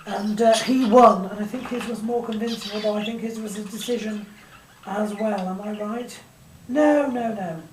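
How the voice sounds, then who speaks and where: A woman with a hoarse voice talks through a recap in a loose, hesitant way, filling gaps with ums and uhs. Her voice sits mid-pitch for a woman. The room is echoey, with a faint hiss behind her. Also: normal speed, darkish tone. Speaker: fight card recap vlogger. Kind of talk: casual spoken recap.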